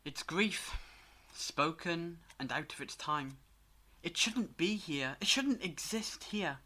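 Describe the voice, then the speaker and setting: Audio drama time traveller, acted: knowing, portentous, measured, mid-pitched voice. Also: expressive, sharp tone, full character voice. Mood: urgent warning. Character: grave knowing time traveller explaining an ancient threat.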